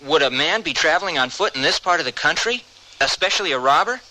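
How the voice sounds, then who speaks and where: An actor playing a guarded young American drifter in an old-time Western radio drama. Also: male voice, a little high, expressive, fast talker, pushed and bright, rough recording. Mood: guarded, placating.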